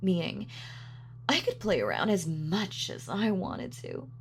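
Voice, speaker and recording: A woman's voice: fairly low pitch, sing-song, measured pace, slight background noise. A woman playing a sadistic, gloating female supervillain in a scripted roleplay audio, taunting and savoring her words theatrically. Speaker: voice actor.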